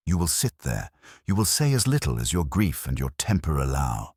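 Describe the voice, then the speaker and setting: An imperious master detective of audiobook mystery, as a male narrator plays him, grave and very deep. The voice is husky, and he speaks in formal, commanding sentences that swing widely in pitch. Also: big pitch swings, normal speed, darkish tone, no echo, studio quality, heavily acted. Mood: commanding, deliberate.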